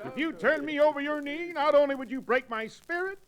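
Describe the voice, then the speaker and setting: Old radio western sidekick: very high-pitched, wheedling, flowery, theatrical tippler. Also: male voice, expressive, fairly quick, dull audio. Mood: mock pleading. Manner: flowery, mock-pleading. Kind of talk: 1940s radio western acting. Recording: vintage radio recording.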